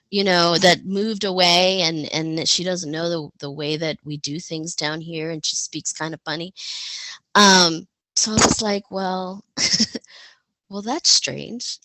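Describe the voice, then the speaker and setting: A woman giving a personal storytelling presentation as a panel speaker, halting and reflective as she goes. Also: on the low side, a bit bright, dry recording, rough recording.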